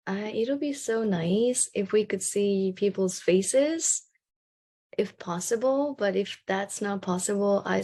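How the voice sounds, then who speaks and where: A woman's voice: mid-range voice, a little mellow. A young woman from Nepal, a graduate student talking casually and hesitantly in an online session, with many ums.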